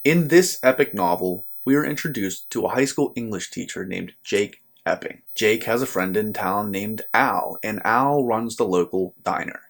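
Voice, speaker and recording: A man's voice: fairly low pitch, vocal fry. Earnest American man reviewing books. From United States. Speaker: book reviewer and channel host. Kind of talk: scripted book review to viewers.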